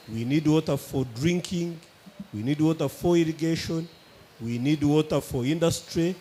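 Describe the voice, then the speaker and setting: A man from Uganda, a government water official, giving measured, methodical remarks on a conference panel. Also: on the high side, dark tone, slight background noise. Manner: measured, methodical, lists points.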